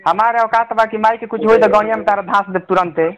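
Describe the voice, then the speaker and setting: A man's voice: high-pitched, steady tone, quick pace, a bit bright. A man with a Bhojpuri accent arguing heatedly on a recorded phone call, abusive and threatening.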